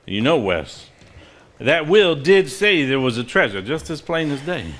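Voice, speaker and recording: An actor playing a composed, measured man who reads and talks in a live stage play. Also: fairly high pitch, very animated, a bit bright, heavily acted. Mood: confiding. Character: composed, conspiring man reading an old diary aloud. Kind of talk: live stage play acting, formal reading aloud.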